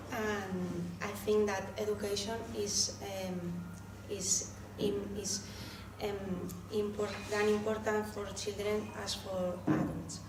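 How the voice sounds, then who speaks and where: A woman from Spain with a Spanish accent introduces herself to a group in halting second-language English. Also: medium pitch. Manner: halting, searching for words. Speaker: workshop participant, social worker. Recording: echoey room, distant mic, noisy.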